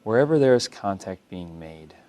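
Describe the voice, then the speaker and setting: A man with a low-pitched voice guides a body scan meditation. He speaks as a mindfulness instructor, calm and unhurried, gently instructing the listener.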